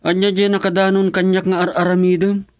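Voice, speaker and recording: Ilocano guy as a village chief, scolds people, a bit high voice, dry close mic. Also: completely flat delivery, brisk, smooth, no room sound. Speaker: village chairman. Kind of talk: radio drama acting.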